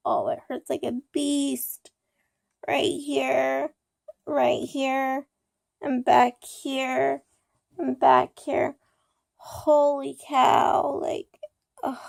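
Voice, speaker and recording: A young woman talks slowly into her camera, hoarse from a cold, her fairly high voice catching in a gravelly fry. She rambles and repeats herself, with frequent ums and false starts. The sound is dry and close. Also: a little mellow, no echo. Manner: rambling, repetitive, many ums and restarts. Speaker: vlogger. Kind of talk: casual personal vlog.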